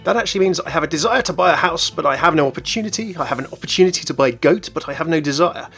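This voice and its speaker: Higher-pitched man presenting gaming news over music. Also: lively intonation, very fast, fairly bright tone, breathy edge. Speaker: gaming channel host. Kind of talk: scripted gaming news commentary.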